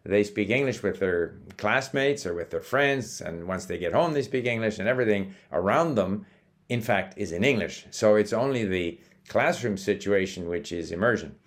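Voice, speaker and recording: An older man, born in Sweden and raised in Canada, hosts a language-learning video and explains things calmly, with small hesitations and restarts. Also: lowish voice, normal speed. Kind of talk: casual talking-to-camera monologue.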